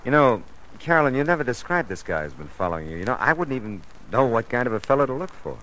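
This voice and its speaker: Tough, protective gumshoe, classic radio drama. Rapid, animated, world-weary, slight vintage hiss. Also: male voice, mid-range voice, poor audio. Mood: probing. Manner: clipped, wisecracking, world-weary. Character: tough, wry private detective, protective man. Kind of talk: old-time radio drama acting. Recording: hissy vintage radio recording.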